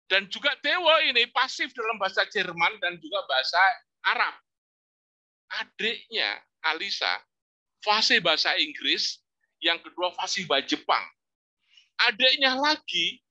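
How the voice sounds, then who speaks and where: Bright-voiced older professor telling stories. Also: male voice, sits high, moderate pace, long pauses. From Indonesia. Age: 60s. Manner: storytelling, lively rising emphasis, religious exclamations. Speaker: psychology professor giving a talk. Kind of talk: informal religious study lecture.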